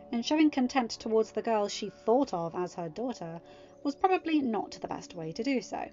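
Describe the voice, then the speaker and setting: A woman reading a fan story aloud as narrator, at a steady, even pace. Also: mid-range voice. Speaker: fanfiction audiobook reader. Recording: muffled audio with soft music.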